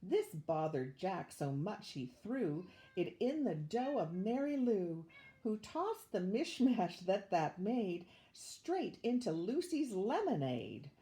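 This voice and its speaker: An older woman reading a rhyming children's story aloud in an expressive, measured way. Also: medium pitch. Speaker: storybook reader, retired teacher.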